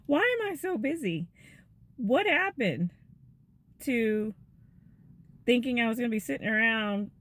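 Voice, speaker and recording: Gentle, chatty woman in her fifties. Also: on the high side, expressive, slow, pauses often. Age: 50s. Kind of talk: casual devotional talk to viewers.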